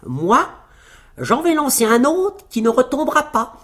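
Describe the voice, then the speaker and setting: A woman's voice: high voice, very animated, a little slow, pitched above the performer's own voice. A woman voicing a boastful, plucky little male tailor in a fairy tale, cocky and full of exclamations. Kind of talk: fairy-tale storybook acting.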